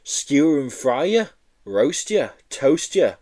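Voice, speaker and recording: A man's voice: mid-range voice, sing-song, slow pace, darkish tone, voice pushed up. A man voicing gleeful, taunting schoolchildren in a children's storybook. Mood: gloating. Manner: gloating, taunting. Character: gleeful, taunting schoolchildren, a tall girl among them. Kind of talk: children's audiobook character reading.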